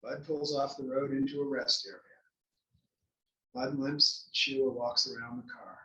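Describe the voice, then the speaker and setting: A man's voice: mid-range voice, steady tone, unhurried, sharp tone. Male narrator in an echoey room. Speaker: narrator reading stage directions. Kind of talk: scripted narration at a table read. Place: library room, table read. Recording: echoey library room, distant mic.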